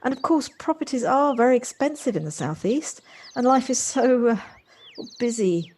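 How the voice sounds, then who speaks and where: A woman's voice: fairly high pitch, animated, normal speed, a little mellow, slight background noise, natural voice. Polite, chatty city career woman, acted. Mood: self-deprecating, earnest. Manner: polite, chatty, slightly flustered. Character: busy city career woman, Jocelyn, polite and slightly self-deprecating. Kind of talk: amateur audio drama acting.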